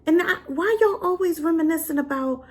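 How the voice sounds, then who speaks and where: A divorced adult woman giving a sassy, animated casual review straight to her viewers. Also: extremely high pitch, fairly quick. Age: adult, been married and divorced. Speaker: drama reviewer.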